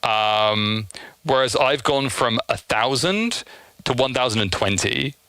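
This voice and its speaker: A man talks casually to his livestream audience in a bright voice with frequent vocal fry. His delivery is effusive and peppered with swearing. The sound is dry, with little room around it. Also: mid-range voice, lively intonation, takes it slowly, no echo, rough recording. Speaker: streamer hosting a live game stream.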